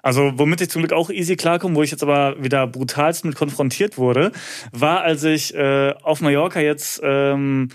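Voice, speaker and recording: A man's voice: a little high, fairly even intonation, normal speed. A man in his thirties co-hosting a podcast, speaking in an easygoing, slangy way. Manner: laid-back, slangy, easygoing. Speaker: podcast co-host. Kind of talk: casual chat.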